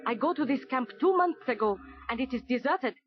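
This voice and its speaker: A woman playing a brisk Vietnamese resistance guide who speaks clipped, matter-of-fact broken English in a children's audio story. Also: high voice, lively intonation, faint music behind. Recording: dull vintage cassette.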